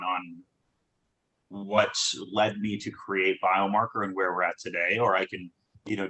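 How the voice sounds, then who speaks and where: A man with a low, bright and forceful voice tells his story to a group. He talks in a halting way, often repeating words and restarting his sentences. He grew up in Southern California. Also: rough recording. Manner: halting, many restarts and fillers. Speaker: startup founder. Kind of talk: conversational talk on a group call.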